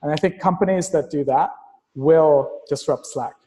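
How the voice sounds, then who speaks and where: A man's voice: a little high, moderate pace, warm tone, long reverb tail, rough recording. A man speaking as a guest in a casual podcast conversation, explaining ideas in an analytical, abstract way. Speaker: interview guest, tech investor and entrepreneur.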